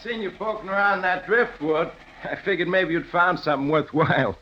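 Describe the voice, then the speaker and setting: Brief: male actor as exasperated New boatman, rustic and blunt, fairly high voice, muffled and faintly noisy vintage radio drama. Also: moderate pace, natural voice. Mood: folksy scolding. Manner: plain-spoken, scolding, rustic. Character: folksy, exasperated small-harbor Maine boatman. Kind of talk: 1940s radio acting.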